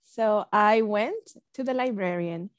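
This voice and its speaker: A woman's voice: mid-range voice, slow pace, smooth, no room sound, dull audio. A woman from El Salvador, a librarian guest telling her story in an earnest, conversational way.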